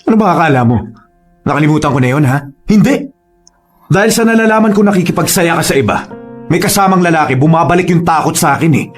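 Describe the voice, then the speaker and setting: A man's voice: on the high side, animated, fast. A man acting a domineering, jealous young father and boyfriend in a radio drama. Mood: jealous, angry. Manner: domineering, intense. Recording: music under the voice.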